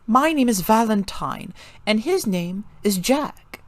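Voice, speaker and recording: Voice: medium pitch, expressive, takes it slowly, dry recording, voice pushed up. A performer voicing a frank, chatty, friendly girl who explains things matter-of-factly in a story reading.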